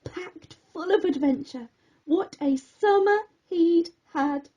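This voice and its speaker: A woman reading a rhyming children's bedtime story aloud in a theatrical, expressive way. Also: very high-pitched, slow, lo-fi sound.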